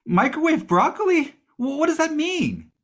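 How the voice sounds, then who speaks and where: A man's voice: very high voice, big pitch swings, normal speed, a bit bright, exaggerated voice. A man playing a timid, naive, apologetic young cooking student with a nervous stammer in a comic audio play. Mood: nervous, confused.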